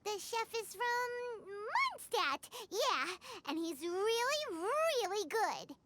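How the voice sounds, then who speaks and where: A woman voicing a small, childlike floating fairy companion in a video game, squeaky, theatrical and eager. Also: big pitch swings, takes it slowly, very noisy, heavily acted. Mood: eager storytelling. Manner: refers to self by name, exclaiming, theatrical.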